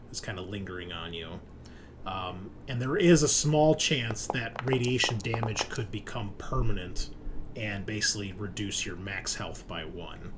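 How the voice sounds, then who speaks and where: A man's voice: on the low side, slight background noise. A man running a tabletop game as game master, explaining rules and scenes informally and hesitantly, thinking aloud.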